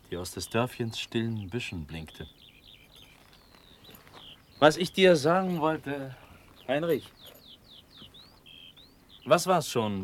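Brooding young prince male actor, slow hesitant musing, birds and faint noise behind. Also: mid-range voice, close to an everyday voice. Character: distracted, brooding young prince confiding in friend. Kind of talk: German classical radio drama acting.

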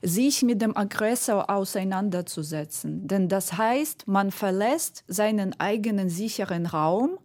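A woman's voice: mid-range voice, lively intonation, a little mellow. A woman from Ukraine with a Ukrainian accent, a debate guest arguing reflectively and choosing her words with care. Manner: deliberate, careful in wording. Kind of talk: political debate, reflective argument.

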